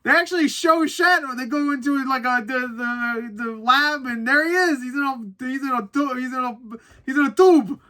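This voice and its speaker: A man's voice: very high-pitched, moderate pace, bright, forceful tone. A man of thirty giving a casual, unscripted movie review as host, rambling conversationally and swearing casually.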